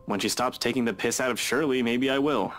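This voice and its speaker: An actor playing a nonchalant, dry-witted investigator in a visual novel, speaking in an offhand, understated way. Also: male voice, medium pitch, fairly quick, a bit bright, soft background music, close to an everyday voice. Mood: dry, teasing.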